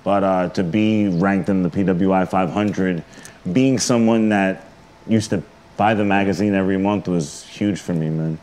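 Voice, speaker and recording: A man's voice: low-pitched, steady tone, normal speed, darkish tone. A middle-aged man with a New York accent, chatting casually and informally as a wrestler being interviewed in a studio. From the Bronx, New York. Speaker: interview guest, pro wrestler. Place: indoor studio.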